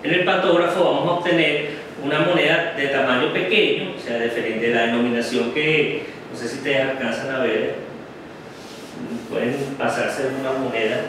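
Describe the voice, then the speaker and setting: A middle-aged man gives a slide presentation in a classroom, talking unhurriedly and often checking in with "ok?". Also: medium pitch. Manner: unhurried, many "ok?" checks with the audience. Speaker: guest lecturer. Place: darkened classroom with projector screen. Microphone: far camera. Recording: very echoey room from far off, noisy.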